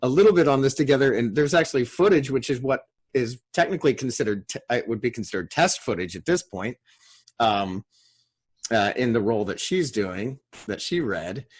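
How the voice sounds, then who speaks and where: A man hosting a casual video call discussion after a table read, rambling and halting as he talks. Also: medium pitch, animated, breathy edge. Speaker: host and writer of a table read. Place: remote group video call.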